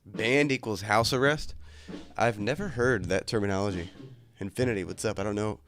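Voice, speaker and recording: Laid-back young man, slightly congested, low voice. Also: normal speed, a bit bright. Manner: laid-back, crude, joking banter with chat. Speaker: livestream host. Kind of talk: casual livestream chat. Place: his bedroom at home.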